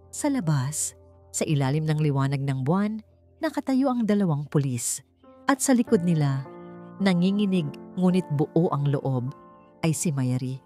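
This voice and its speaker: A woman with a fairly low voice reads a romantic drama as a storyteller. Her delivery is measured, suspenseful and melodramatic. Music plays clearly beneath the narration. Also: dark tone.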